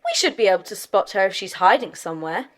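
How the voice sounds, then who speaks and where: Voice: mid-range voice, lively intonation, fast talker, natural voice. An actor playing a keen, observant young schoolgirl, eager and thoughtful, in an audiobook drama.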